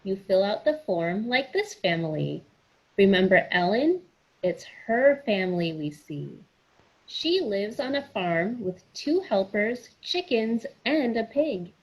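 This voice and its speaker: Female children's storyteller, unhurried and animated, slightly breathy or husky tone, speaking directly to kids. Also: mid-range voice, poor audio. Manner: sing-song, encouraging, addresses children directly. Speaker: children's story time host reading aloud. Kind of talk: picture book read-aloud for children.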